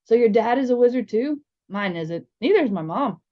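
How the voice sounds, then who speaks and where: A woman voicing a chatty, excitable young American boy in a storybook, with a breezy, rambling manner. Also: fairly high pitch, moderate pace, voice pushed up, natural voice. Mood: breezy, excited. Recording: slightly dull, lo-fi sound.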